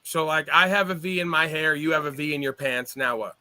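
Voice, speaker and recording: A man with a high-set, piercingly bright voice lectures and mocks a caller on his livestream. His delivery is sarcastic and cutting. The sound is close and dry, with no room around it. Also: a little fast, smooth, no room sound. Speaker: livestream host. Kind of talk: heated live-stream argument.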